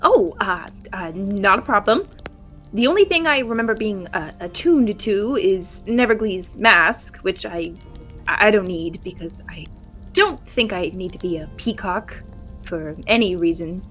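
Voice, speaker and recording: A woman acts out an awkward male fantasy adventurer in a tabletop audio drama. He is earnest and timid, halting and stammering as he goes, at a middling pitch. Faint music plays behind him. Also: expressive, a bit bright. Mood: flustered, grateful.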